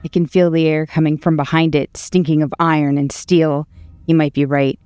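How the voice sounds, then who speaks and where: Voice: mid-range voice, level tone, normal speed, darkish tone, clean tone, no echo, faint music behind, close to an everyday voice. An actor playing a hero's cocky, impatient inner voice in a video game, blunt, defiant and eager for a fight. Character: cocky, impatient, battle-hungry inner voice of a hero.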